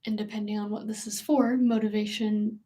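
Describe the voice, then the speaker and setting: A woman in her late twenties co-hosting a live question-and-answer chat, speaking in a calm, matter-of-fact way. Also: mid-range voice, fairly quick. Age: 20s. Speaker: co-host.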